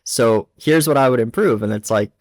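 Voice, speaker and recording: A man speaking as a podcast guest in casual chat, blunt and animated, with lots of filler words. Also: medium pitch, moderate pace, dry recording, studio quality. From not from the Czech Republic. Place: lives in Prague.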